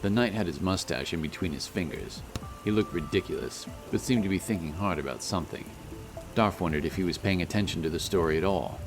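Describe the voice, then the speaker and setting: A man's voice: low voice, a little fast. A man narrating a scripted fantasy story as its storyteller. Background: music.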